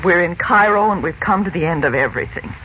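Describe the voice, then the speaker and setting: A woman's voice: fairly low pitch, lively intonation, fast talker, sharp tone. A dull, slightly noisy late-1940s radio recording holds an anxious mother. She is middle-aged and speaks with earnest, worried drama in old serial style. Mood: despairing. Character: anxious, despairing, gently scolding mother.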